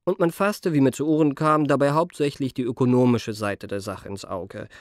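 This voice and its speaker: A man's voice: medium pitch, moderate pace, no echo, studio quality, natural voice. An actor playing a genteel, self-admiring young charmer, narrating his youth in polished, mannered literary style for a radio play. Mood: confiding.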